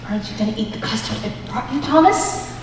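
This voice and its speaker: A woman's voice: a little high, animated, quick pace, close to an everyday voice. A young woman playing an oblivious, fussy wife in a mock historical stage comedy. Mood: fussing. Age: young adult. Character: oblivious wife fussing over her custard. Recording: echoey auditorium, distant mic, clear noise.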